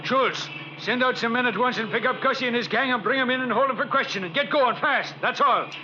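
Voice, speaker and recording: An actor playing a gruff, businesslike homicide lieutenant, blunt and colloquial, in an old radio crime drama. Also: male voice, very high voice, fairly quick, bright, forceful tone, heavily acted. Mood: impatient, bossy. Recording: noisy, dull old radio recording.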